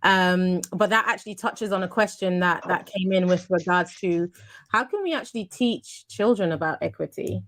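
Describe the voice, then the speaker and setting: A woman's voice: lowish voice, moderate pace, fairly bright tone. A woman hosting a video call panel and, as an author, reading a prepared passage fluently and evenly. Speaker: host and author.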